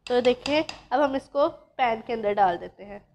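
A woman's voice: a little high, lively intonation, normal speed, gravelly, a little mellow, slight background noise. A woman from Pakistan, patiently explaining a recipe step by step as a cooking channel host. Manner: patient, instructive, addresses viewers directly.

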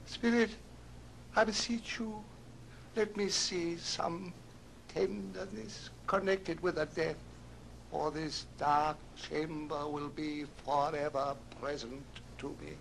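Scared old miser, high sharp voice, slow theatrical begging, old radio play. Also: male voice, fairly even intonation, vocal fry, a little hiss or hum, exaggerated voice, rough recording. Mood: beseeching. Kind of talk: old radio drama acting. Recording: old record with music underneath.

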